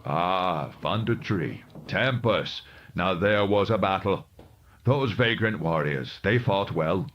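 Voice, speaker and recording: A man voicing a gruff, contemptuous male thug soldier who sneers and grumbles. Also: low voice, expressive, takes it slowly, slight background noise, pitched below the performer's own voice. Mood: reminiscing. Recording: dull lo-fi recording.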